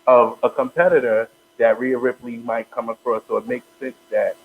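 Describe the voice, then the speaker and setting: A man's voice: medium pitch, level tone, moderate pace, vocal fry, a little hiss or hum. Man on a tinny phone-like line. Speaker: podcast co-host. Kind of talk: casual wrestling chat.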